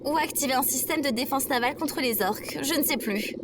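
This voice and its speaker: A woman's voice: high-pitched, heavy background noise, natural voice. A woman playing a sarcastic, touchy female robot companion in a comedic audio drama. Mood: matter-of-fact. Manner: sarcastic, quick to take offence. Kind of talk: comedic audio fiction acting.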